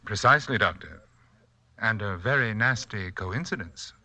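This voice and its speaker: A man playing a suave, sinister sanitarium doctor in a 1940s radio mystery, polite, composed and quietly menacing. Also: on the low side, expressive, moderate pace, full character voice. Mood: coolly sinister.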